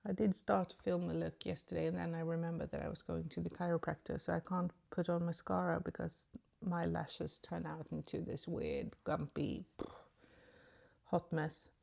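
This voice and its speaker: Lady rambling thru her makeup, lots of ums, lowish voice, sleepy morning vibe. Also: expressive, moderate pace, vocal fry, a little mellow. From Sweden. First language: Swedish. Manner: rambling and chatty, many ums. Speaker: beauty vlogger. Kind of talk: casual makeup tutorial chat.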